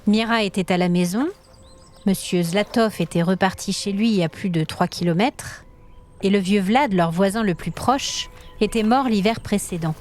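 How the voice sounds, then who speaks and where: A woman tells a scripted fantasy tale as a storyteller. Her voice has a medium pitch, and the narration is dramatic, with light music underneath. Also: normal speed, darkish tone.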